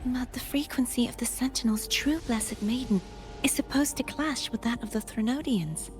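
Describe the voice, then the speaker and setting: A woman playing a solemn, resolute young female warrior in a video game, speaking in an earnest, measured, breathy way. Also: on the high side, soft background music, natural voice. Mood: serious.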